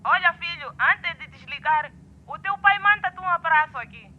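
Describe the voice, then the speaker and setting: A woman's voice: extremely high pitch, expressive, normal speed. A woman playing a middle-aged Mozambican mother in a radio drama, fussing affectionately over her grown son through a telephone filter. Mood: tender. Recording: muffled phone call with faint noise.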